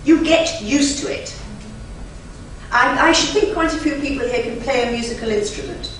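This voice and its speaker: A woman whose first language is German, a conference interpreter giving an emphatic, chatty lecture to a live audience with lively asides. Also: a little high, normal speed. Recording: very echoey hall, from far off, faint noise.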